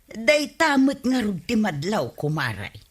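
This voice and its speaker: An actress playing a gossipy, suspicious village neighbour with a husky voice and an Ilocano accent in a radio comedy. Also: female voice, medium pitch, wildly swooping pitch, unhurried, full character voice. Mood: knowing, suspicious. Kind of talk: broadcast radio comedy drama acting.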